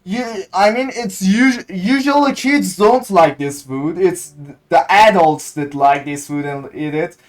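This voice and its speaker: A man's voice: sits high, measured pace. A man from Iran with an Iranian accent, a native Persian speaker, giving casual gameplay commentary and hesitantly searching for English words. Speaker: gaming content creator.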